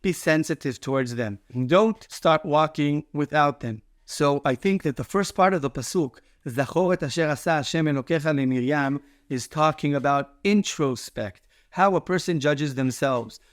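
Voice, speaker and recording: A man's voice: a little high, moderate pace, hardly any pauses, no echo, studio quality. A man speaking as a rabbi, giving a short explanatory Torah teaching with emphatic repetition.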